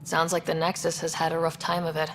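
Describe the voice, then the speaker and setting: An actress playing a young, inquisitive space explorer in a video game, asking things in a direct, businesslike way. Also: female voice, deep voice, monotone, brisk, a little hiss or hum. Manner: direct, plain questioning. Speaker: player's explorer character.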